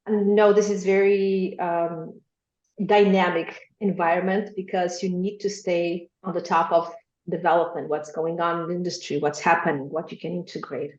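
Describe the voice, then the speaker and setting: A woman's voice: fairly low pitch, a little mellow. Macedonian-accented woman on a roomy call. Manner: thoughtful, many ums and uhs, light laughs. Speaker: guest, machine translation specialist. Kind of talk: podcast interview answer. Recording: roomy, dull lo-fi remote sound.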